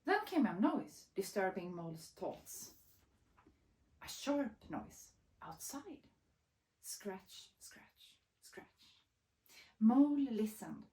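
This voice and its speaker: A woman narrating a children's picture book. Her voice is mellow and warm in tone, with an expressive storytelling delivery. The room adds a clear echo. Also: mid-range voice, very slow. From Sweden. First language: Swedish.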